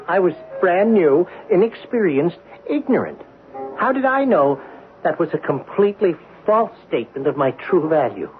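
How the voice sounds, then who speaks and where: Wildly animated, boastful talking coin. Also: male voice, fairly high pitch. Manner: theatrical, confiding storyteller. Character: talking new dime, boastful, naive, sprightly male. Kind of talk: 1970s radio drama narration. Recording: phone-like lo-fi 1970s radio broadcast recording. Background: music.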